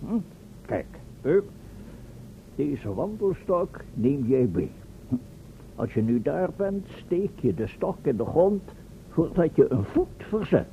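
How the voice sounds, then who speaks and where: An actor playing a pompous, domineering, eccentric professor in a radio play, fussy and commanding. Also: male voice, a little high, sing-song, slow pace, vocal fry, long pauses, heavily acted. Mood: instructing. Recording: dull old radio recording, faint noise.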